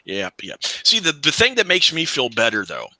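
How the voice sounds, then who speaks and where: A man's voice: medium pitch, huge pitch swings, moderate pace, pushed and bright, no room sound. A man in his late forties chatting casually on an online group call in a blunt, profane way. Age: late 40s.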